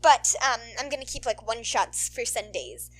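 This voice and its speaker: A woman's voice: a little high, expressive, moderate pace, a bit bright, poor audio. A woman podfic narrator who talks in an informal, playful way. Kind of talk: animated story narration and casual outro chat.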